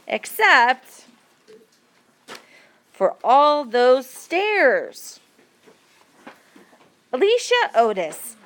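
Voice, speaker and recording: A woman reading an educational passage aloud as a teacher, with deliberate, measured phrasing for learners. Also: on the high side, a bit bright. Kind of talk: read-aloud educational narration.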